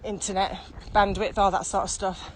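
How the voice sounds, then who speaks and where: A woman expert in virtual training explaining her work enthusiastically, speeding up when excited, with many ums. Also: medium pitch, normal speed. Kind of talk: casual explanatory chat. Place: outdoors by a road. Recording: noisy outdoor recording with traffic.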